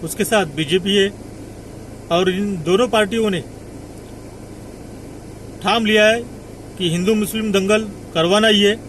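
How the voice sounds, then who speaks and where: A man's voice: high voice, fairly even intonation, a little slow, long pauses, a bit bright, slight background noise, low-quality recording. A man from India speaking as an activist spokesman, giving an emphatic political statement to the media.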